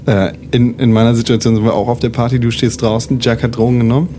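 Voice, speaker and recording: A man's voice: medium pitch, little pitch movement, fast, mellow, a little hiss or hum. A man casually planning story scenes with the other players in a tabletop role-playing session, steering the game.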